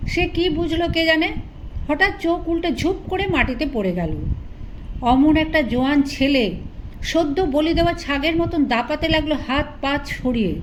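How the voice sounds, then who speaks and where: A woman reads a literary story aloud. She works as an audiobook narrator, telling the tale in prose. The recording carries clear noise behind her. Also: high voice, normal speed, poor audio.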